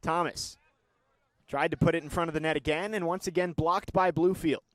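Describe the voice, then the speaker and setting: Higher-voiced young male sports play-by-play commentator. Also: dry recording. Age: young adult. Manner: measured description rising to excited calls.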